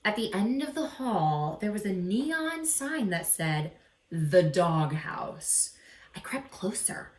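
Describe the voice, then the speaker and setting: A woman reads a children's story aloud with very lively intonation and dramatic pauses. She sounds like a teacher addressing young listeners, recorded in a reverberant room slightly away from the mic. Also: medium pitch, far from the mic. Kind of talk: expressive picture-book reading with asides to young listeners.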